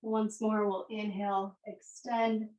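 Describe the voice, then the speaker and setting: An echoey room surrounds this woman's voice, which sits some way from the microphone. She has a medium pitch and instructs a yoga class with gentle, unhurried cues, leaving space between phrases. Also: little pitch movement, distant mic.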